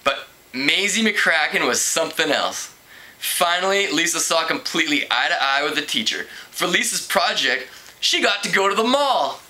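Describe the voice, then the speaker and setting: A man reads a story aloud as an amateur narrator. His voice is strikingly bright and forceful and sits a little higher than most men's. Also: expressive, normal speed, low-quality recording. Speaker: amateur book reader.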